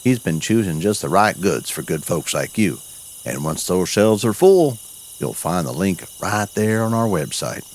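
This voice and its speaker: A man's voice: low-pitched, very animated, measured pace, a little mellow. A man narrating a cozy audio story as host, with a folksy cowboy drawl and country sayings.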